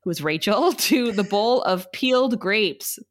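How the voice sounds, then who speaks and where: Woman narrating stage directions, lively pitch. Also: measured pace. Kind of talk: reading stage directions at a table read.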